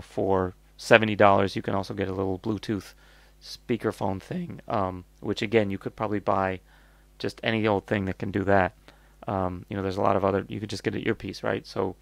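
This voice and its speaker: A man's voice: on the low side, creaky, dry recording. A North American man hosting a casual, off-the-cuff product review, rambling and blunt as he thinks aloud.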